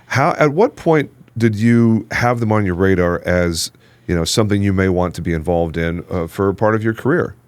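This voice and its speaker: A man hosting a podcast from a studio, asking questions in a relaxed, joking way. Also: deep voice, moderate pace, smooth, dry recording. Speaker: podcast host. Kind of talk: casual interview chat.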